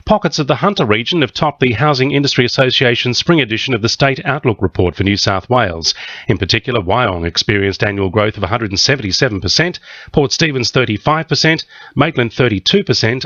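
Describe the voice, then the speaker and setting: A man's voice: mid-range voice, fairly bright tone, no room sound, lo-fi sound. An Australian man reading a scripted local radio news bulletin. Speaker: news reader.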